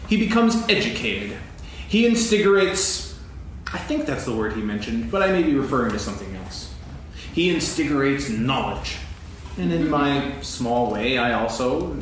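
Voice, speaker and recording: A man playing a grumbling, self-pitying storybook donkey in a staged reading, lecturing pompously with emphatic pauses. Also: mid-range voice. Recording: echoey stage, distant mic, faint noise.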